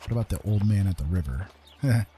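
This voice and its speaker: A man's voice: fairly low pitch, lively intonation, a little fast, warm tone, slight background noise. A man giving casual live gameplay commentary, half talking to himself as he plays. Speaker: game streamer.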